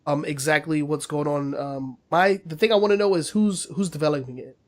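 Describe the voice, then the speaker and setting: A man's voice: on the high side, a little fast. A man co-hosting a casual gaming news podcast, chatty with many fillers and slightly nasal from a cold. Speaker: podcast co-host.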